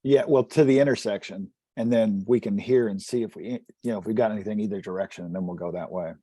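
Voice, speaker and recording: A man's voice: lowish voice, quick pace, mellow, no room sound. A man chatting casually as a player in a tabletop roleplaying game.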